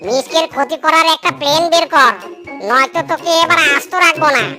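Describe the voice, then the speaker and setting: A man plays a jeering cartoon animal villain, gruff and threatening. The voice bullies and mocks over loud music. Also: very high voice, fast. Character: menacing, jeering male animal villain, leader of schemers. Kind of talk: exaggerated cartoon dialogue.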